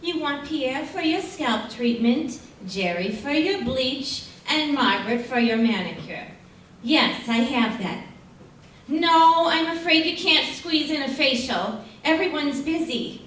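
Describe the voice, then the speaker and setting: Woman playing high-voiced busy receptionist, roomy stage. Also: animated, slow pace, fairly bright tone, full character voice. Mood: brisk, polite. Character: busy, polite salon receptionist. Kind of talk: old-time radio stage acting. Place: indoor theater stage. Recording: roomy stage, distant mic, faint noise.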